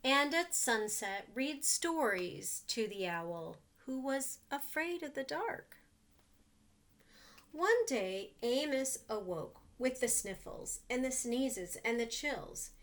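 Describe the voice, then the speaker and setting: Gentle woman reading a picture book aloud. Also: high voice, very animated, slow. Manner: gentle, asks the children questions about the pictures. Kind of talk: children's read-aloud.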